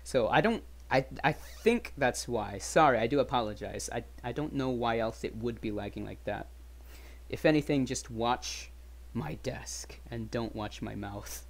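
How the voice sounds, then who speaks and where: A man's voice: mid-range voice, a little slow, vocal fry. A man chatting casually as a livestreamer between readings, with informal, somewhat hesitant asides. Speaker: streamer reading aloud. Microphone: webcam stream setup.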